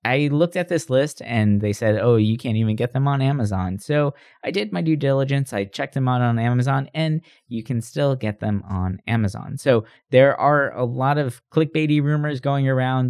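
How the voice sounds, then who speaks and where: A man's voice: medium pitch, moderate pace, few pauses, a little mellow, no room sound, clean studio sound. A man hosting a podcast, talking through gaming news in a casual, conversational way and often tagging on questions to check agreement. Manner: conversational, rhetorical tag questions. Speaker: podcast host.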